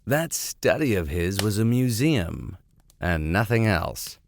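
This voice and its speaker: Man, fairly deep, expressive swings in pitch, comic audiobook reading, wry asides. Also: takes it slowly, creaky, a little hiss or hum. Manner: playful, digressive, sardonic. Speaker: host and reader of a classics podcast.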